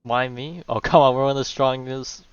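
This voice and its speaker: A gaming video creator comments casually over a playthrough in a mid-pitched voice. The delivery is slangy and reactive. The sound is very dry and a little dull. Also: steady tone, normal speed, clean tone, no echo.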